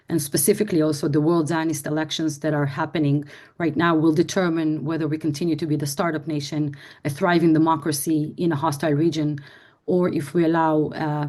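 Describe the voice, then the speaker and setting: A woman hosting a video call, introducing the speaker with hesitant pauses and fillers. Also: sits low, a little mellow. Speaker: event host.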